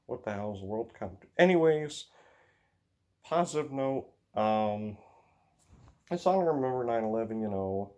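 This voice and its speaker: A man's voice: lowish voice, animated, measured pace, pauses often, a little mellow. A teenage American man with an Upper Midwest accent, talking in a rambling, hesitant way full of fillers and sighs. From United States. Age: teens. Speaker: racing vlogger. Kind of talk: rambling casual monologue.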